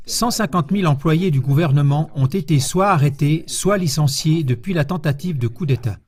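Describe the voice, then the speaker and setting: Formal male news voice-over, slightly high. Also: a little mellow. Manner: measured, formal reading. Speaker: news narrator. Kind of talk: scripted voice-over narration.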